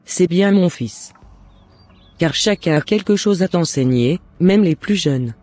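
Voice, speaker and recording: Man playing a devout mother, mellow and earnest. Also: high voice, animated, a little slow, no room sound, soft background music. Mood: earnest, encouraging. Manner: earnest, encouraging, formal exhortation. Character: devout, loving mother advising her son. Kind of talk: scripted cartoon dialogue.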